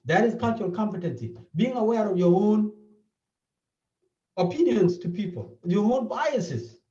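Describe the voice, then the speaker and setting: South Sudanese man with a lively, earnest voice. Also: high voice, moderate pace. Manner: earnest, explaining, repeats key points. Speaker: therapist. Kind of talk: interview. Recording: dull, echoey, from a distance.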